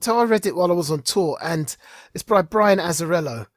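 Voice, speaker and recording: A man's voice: high voice, lively intonation, fairly quick, no echo, studio quality. A man hosting a podcast in casual chat, enthusiastic and rambling as he searches aloud for his words. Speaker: podcast host. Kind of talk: casual chat about comics.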